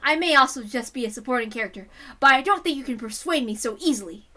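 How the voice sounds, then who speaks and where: A woman's voice: sits high, lively intonation, quick pace, pushed and bright, heavily acted. A woman voicing a pompous, earnest male coach from an anime visual novel, declaiming grandly. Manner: grandly declamatory, self-important. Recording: music behind the voice.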